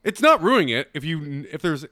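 Bright-voiced man teasing his friends. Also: a little high, very animated, fairly quick, no room sound, clean studio sound. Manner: teasing, bossy, blunt. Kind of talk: crude casual banter among friends.

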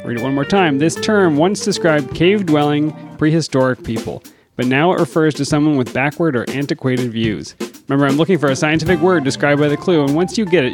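A man with a Virginia accent co-hosting a science podcast, with a wry, deadpan humor. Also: fairly high pitch. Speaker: podcast co-host. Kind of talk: casual chat, reading a puzzle clue.

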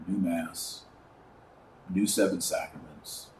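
A man's voice: low-pitched, fairly even intonation, takes it slowly, a little mellow. An American man hosting a podcast, giving an informal, opinionated monologue and emphatically listing points with repetition. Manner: emphatic, repeats and lists items for effect. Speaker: podcast host; online course teacher. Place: indoors at a desk. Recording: roomy echo, distant mic, faint noise.

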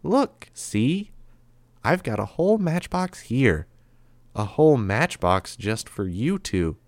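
A man voicing a cruel, calm immortal boy who talks softly and slowly with flat, cheerful menace. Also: medium pitch, dry recording, pitched above the performer's own voice. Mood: coldly menacing. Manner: soft, slow, menacing and flatly cheerful. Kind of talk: audiobook character voice.

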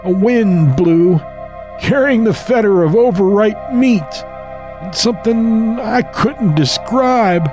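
A man's voice: high-pitched, animated, slow, over music, voice pushed up. A man voicing a frail, rambling old grandfather who tells a horror story in the first person. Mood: eerie storytelling.

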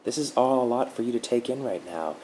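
A man voicing a sweet-talking female dark guardian spirit, soothing and gently mocking. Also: medium pitch, animated, fairly quick, a little hiss or hum, pitched above the performer's own voice, lightly acted, poor audio. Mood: consoling.

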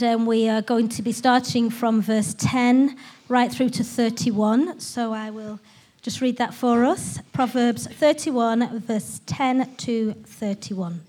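A woman hosting a church study session, welcoming a guest speaker and then reading a Bible passage aloud in a slow, measured way. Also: fairly high pitch.

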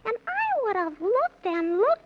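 Extremely squeaky little squirrel fellow. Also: female voice, measured pace, heavily acted. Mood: grateful. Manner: giggly, excitable rhyming. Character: small eager cheerful male squirrel, good-natured, scatterbrained. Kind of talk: 1930s children's radio acting. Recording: muffled, faintly noisy 1930s radio recording.

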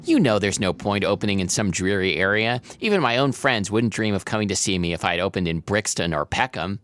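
A man's voice: fairly low pitch, expressive, brisk, fairly bright tone. A man voicing a naive, snobbish middle-aged widowed mother, airy and unbothered, in a novel reading. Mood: defensive, snobbish.

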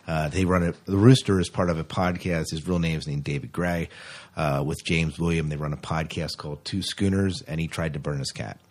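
A man's voice: a bass voice, fairly quick, darkish tone. A man hosting a podcast in casual chat, rambling along in a jokey way. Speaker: podcast host.

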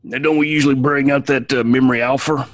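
A man voicing a gruff Southern male starship officer with a shady past, talking with an Alabama accent in a drawling, boastful, folksy way. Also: mid-range voice, fairly even intonation, fast talker, natural voice. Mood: swaggering. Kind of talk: in-character banter on a podcast.

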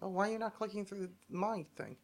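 A man's voice: high voice, brisk. A man hosting a casual comedy podcast, rambling along with sarcasm and plenty of swearing. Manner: sarcastic, rambling, foul-mouthed. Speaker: podcast host.

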